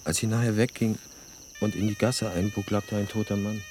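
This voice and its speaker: An actor playing an uneasy American writer in a naturalistic radio drama, talking in a hesitant, probing way. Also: male voice, lowish voice, steady tone, fairly quick, over music, close to an everyday voice. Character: uneasy American male writer, haunted by a violent deed. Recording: clear background noise of chirping crickets. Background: crickets, outdoor ambience.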